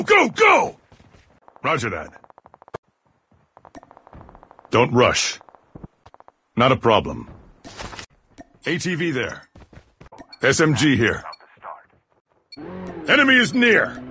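An actor voicing a gruff, aggressive soldier giving terse, commanding squad callouts in a military video game, with a rough, husky voice. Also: male voice, medium pitch, huge pitch swings, slow, long pauses, pushed and bright, a little hiss or hum, exaggerated voice. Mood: urgent shouting, then brisk, matter-of-fact. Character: gruff armored male soldier, aggressive squad mate in combat. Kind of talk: video game combat voice lines.

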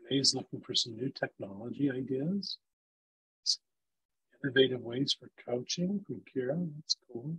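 A man with a gravelly voice presenting a casual online session for teachers over a video call, with loose, filler-heavy talk. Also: medium pitch, normal speed, sharp tone, muffled recording. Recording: video call audio, volume dropping in and out.

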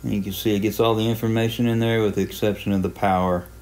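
A man with a low, very even voice walks through software on screen. His tone is clean and smooth, and he explains each step plainly, stopping and starting as he goes. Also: quick pace. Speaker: amateur radio hobbyist giving a software tutorial. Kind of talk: unscripted screen walkthrough.

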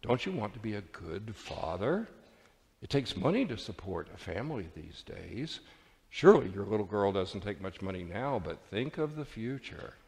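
A man's voice: on the low side, big pitch swings, normal speed, gravelly, darkish tone. Man playing a patronizing boss in a hall. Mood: pressuring. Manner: patronizing, persuasive. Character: smoothly pressuring male boss, persuasive and patronizing. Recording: reverberant church hall.

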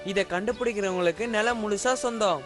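Man playing a high-voiced cartoon king. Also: fairly quick, close to an everyday voice. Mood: calm, authoritative. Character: helpful-minded male king posing a riddle to settle disputes. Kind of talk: cartoon dubbing. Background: music.

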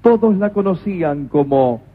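A man's voice: high-pitched, lively intonation, moderate pace, breathy edge. A man narrating a comic radio play with a dramatic storyteller delivery. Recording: tinny lo-fi radio recording.

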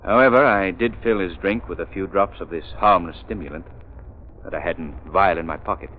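An actor playing a composed, grave gentleman detective in a 1930s radio drama. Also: sits low, brisk, a little hiss or hum, lightly acted. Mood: calm, explanatory. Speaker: lead actor in radio mystery serial. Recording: muffled 1930s radio recording.

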